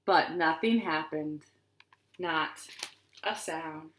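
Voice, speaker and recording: A woman reading stories and rhymes aloud to young children in an expressive, deliberate way, recorded in a living room. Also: sits low, a little hiss or hum. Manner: expressive, deliberate, asks the listeners questions. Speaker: children's librarian storyteller. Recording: roomy, echoing living-room sound.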